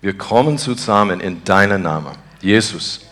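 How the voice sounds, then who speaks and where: A man from Texas with an American accent, a native English speaker, preaching and praying earnestly in halting, broken beginner's speech as he searches for words. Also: sits low, lively intonation, a bit bright. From Texas, United States. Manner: earnest, devout. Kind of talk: informal sermon and spontaneous prayer.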